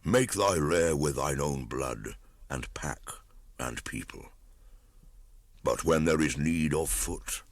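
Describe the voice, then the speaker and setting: A man voicing an old, weary, tender bear teacher with a slow, grave and gentle way of talking, in a storybook reading. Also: extremely low pitch, no echo, full character voice. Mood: tender, consoling.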